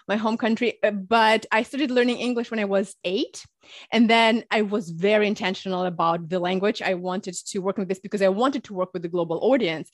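A woman from Russia, raised in Ukraine, speaking English as a podcast guest. She talks in an energetic, chatty way, laughing often and explaining through examples. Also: mid-range voice, dry recording, studio quality. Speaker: guest, public speaking coach. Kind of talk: conversational podcast interview.

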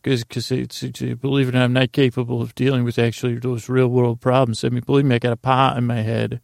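A man rambles as the host of a bedtime podcast. His improvised talk meanders and keeps interrupting itself. The voice is deeply gravelly with fry, and the sound is very dry. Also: flat delivery, a little mellow, no room sound. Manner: meandering, halting, self-interrupting. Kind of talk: rambling improvised monologue to distract listeners.

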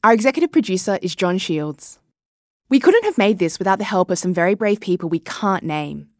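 A woman in her thirties from Australia narrating a documentary podcast as correspondent, measured and reflective. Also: medium pitch, animated, no echo, clean studio sound. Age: 30s.

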